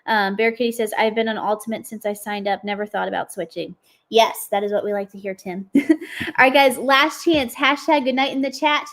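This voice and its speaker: A woman's voice: fairly high pitch, brisk, a bit bright. A woman co-hosting a live stream, upbeat and chatty with lots of fillers, her voice a little worn from a cold. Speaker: co-host of a live product demo. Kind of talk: casual live-stream chat.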